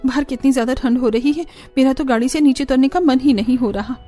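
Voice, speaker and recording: Lady doing a bubbly college girl in a cartoon, high voice, whiny and expressive, faint music. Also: fast. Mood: grumbling. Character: bubbly young college woman, food-loving and fussy.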